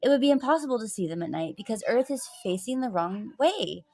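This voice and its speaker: A woman's voice: a little high, lively intonation, fairly quick, a little mellow, natural voice. Casting: stubborn, curious schoolboy for children's storybook female reader, insistent and questioning. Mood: confident, defiant. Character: inquisitive, stubborn schoolboy challenging what he is taught.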